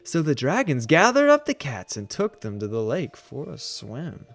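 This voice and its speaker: A man reading a children's storybook aloud as an animated narrator. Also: a little high, normal speed, gravelly, faint music behind. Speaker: children's book reader.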